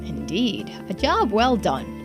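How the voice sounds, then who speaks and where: A woman voicing a composed female paranormal investigator with a formal, measured manner in a game. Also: medium pitch, wildly swooping pitch, music in the background, exaggerated voice.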